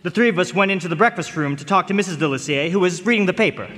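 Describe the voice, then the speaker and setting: An actor playing a nervous, flustered fiancé in a radio drama, stammering and hesitant. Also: male voice, sits high, racing, loud music behind the voice, lightly acted. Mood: anxious, exasperated. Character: nervous, flustered male fiancé, a client in trouble. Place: large performance hall stage. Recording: echoey concert hall, live stage broadcast.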